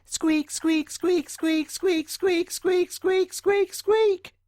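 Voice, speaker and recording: A man voicing a small, anxious storybook mouse with a squeaky, fretful way of exclaiming. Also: steady tone, drawn-out words, a little mellow, dry recording, studio quality, exaggerated voice. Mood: urgent squeaking.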